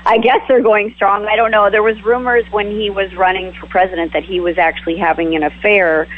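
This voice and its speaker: A man taking part in a radio talk show with a fairly high voice. He speaks in a gossipy way, hedging his claims and letting sentences trail off. He comes through a thin, phone-like line. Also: level tone, brisk. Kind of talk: casual talk radio discussion.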